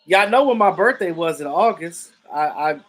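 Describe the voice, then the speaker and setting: A man's voice: sits high, lively intonation, normal speed, a bit bright. A man in his thirties who hosts a live stream, chatting loosely about football and answering viewers, outspoken and swearing freely. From Jamaican family roots. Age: 30s. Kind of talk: casual sports chat reading viewer comments.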